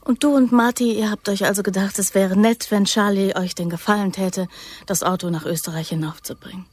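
Actress as breathy, vulnerable young female recruit. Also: medium pitch, steady tone, brisk, no room sound, lightly acted. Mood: wry, forlorn. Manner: breathy, hushed, intimate. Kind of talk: German radio play acting.

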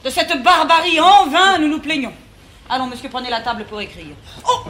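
A woman's voice: high voice, expressive, a little fast, fairly bright tone. A woman playing an imperious, pedantic bourgeois mother in a French verse stage comedy, haughty and declamatory. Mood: indignant. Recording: echoey, noisy vintage theatre recording.

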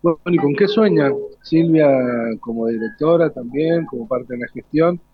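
A man's voice: fairly high pitch, normal speed, husky, no room sound. Male interviewer, dry muffled sound. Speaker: radio interviewer. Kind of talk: unscripted live interview. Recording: dull lo-fi live radio recording.

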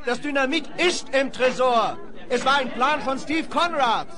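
An actor playing an earnest, anxious young lad in a western radio play, urgent and pleading. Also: male voice, very high-pitched, measured pace, crowd noise. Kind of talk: 1970s radio play for children.

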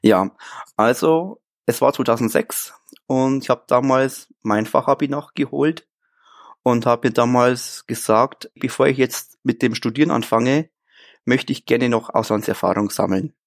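Relaxed Bavarian man in his thirties. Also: medium pitch, a little slow, gravelly. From Bavaria, Germany. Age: 30s. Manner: relaxed and chatty, with frequent filler sounds. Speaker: interview guest. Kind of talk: casual conversational interview.

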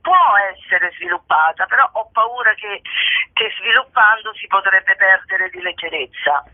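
A woman's voice: medium pitch, lively intonation, unhurried, no room sound. Woman on a tinny phone line. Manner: hesitant, searching for words, repeating phrase starts. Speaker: guest, actress and co-author. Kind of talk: casual interview chat. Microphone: telephone. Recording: tinny narrowband telephone line.

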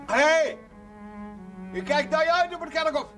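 Very high-pitched, taunting villager. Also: female voice, lively intonation, normal speed, music in the background. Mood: taunting, threatening. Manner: taunting, colloquial. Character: brash, taunting, illiterate villager, menacing and mocking. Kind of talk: Dutch television period drama acting.

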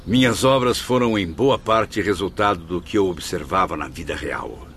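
A man's voice: sits low, lively intonation, normal speed, a bit bright, slight background noise. An actor in a radio drama playing the spirit of a proud Portuguese male novelist, florid, formal and theatrical. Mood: courteous, eager.

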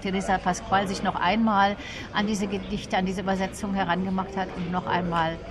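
A woman speaking as an interview guest, explaining literature in a thoughtful, deliberate, articulate way. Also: medium pitch, level tone.

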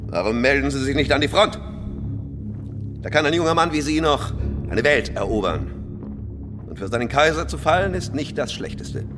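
A man's voice: medium pitch, animated, normal speed, pauses often, close to an everyday voice. Pompous interior minister in a reverberant hall man acting. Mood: condescending, threatening. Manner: pompous, condescending, emphatic. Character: pompous, menacing imperial German interior minister. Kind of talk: radio drama acting. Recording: long hall reverb, music behind.

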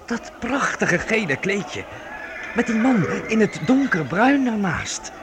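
High young male voice, lively, dreamy earnest youth, radio play, noisy background. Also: slow pace, a crowd in the background, heavily acted. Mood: enraptured. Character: earnest, dreamy youth enraptured by colours, longing to paint. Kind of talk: Dutch radio play acting.

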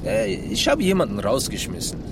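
An actor playing an excitable, aggrieved Turkish taxi driver in a radio drama, chatty, emphatic and indignant. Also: male voice, high-pitched, moderate pace, gravelly, exaggerated voice. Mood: evasive, troubled. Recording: noisy car interior with traffic.

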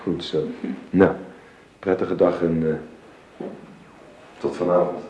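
An actor playing a stiff, hurried middle-aged gentleman and father, formal and slightly hesitant, in a Dutch radio play. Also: low voice, steady tone. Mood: kindly. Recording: muffled, roomy old radio recording, faint noise.

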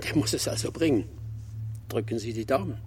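A man's voice: medium pitch, brisk, darkish tone, soft background music, close to an everyday voice. An older man acting in a German radio drama as a terse, stubborn, weathered ex-logger. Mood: terse, determined. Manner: terse, unflustered.

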